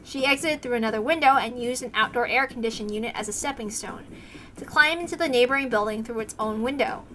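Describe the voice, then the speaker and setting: A woman streamer reading a visual novel aloud as expressive story narration. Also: on the high side, quick pace, slight background noise.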